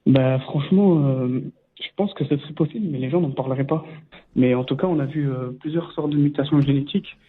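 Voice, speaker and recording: A man's voice: mid-range voice, fairly quick, husky. A man calling in to a radio show from a hallway, congested from a cold. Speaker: caller. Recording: tinny phone line in a hallway.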